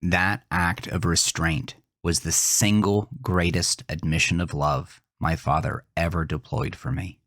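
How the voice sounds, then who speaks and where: A man reading a scripted first-person horror story in a measured, deliberate, dramatic way. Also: low-pitched, steady tone, a bit bright, no echo, studio quality. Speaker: narrator of a horror fiction podcast.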